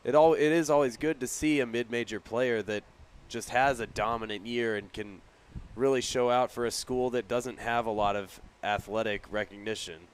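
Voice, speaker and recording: A man giving conversational color commentary on a live baseball broadcast, with a smooth, clear voice. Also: medium pitch, fairly even intonation, normal speed, few pauses, a little hiss or hum.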